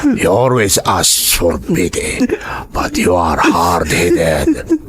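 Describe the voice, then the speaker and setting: Male actor as audio-drama gang boss, very low voice, wildly swooping intonation, taunting, domineering. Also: huge pitch swings, very slow, a crowd in the background, exaggerated voice. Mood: taunting, contemptuous. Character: menacing, contemptuous foreign gang boss holding a captive.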